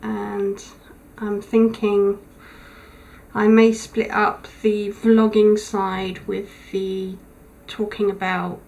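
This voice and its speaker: A tired woman recording a casual video diary, her voice thin and weak, talking haltingly with many pauses. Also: medium pitch, flat delivery, gravelly, darkish tone. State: tired, low on energy. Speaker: vlogger. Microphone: camera mic.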